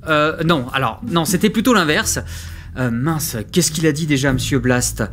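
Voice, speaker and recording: An actor playing a bumbling, forgetful captain in a comic audio drama, with a hesitant, self-correcting manner. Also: male voice, on the high side, normal speed, fairly bright tone, slight background noise, close to an everyday voice. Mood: muddled, hesitant. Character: bumbling, forgetful male captain of a time mission. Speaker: captain of a time-travel mission. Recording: music behind the voice.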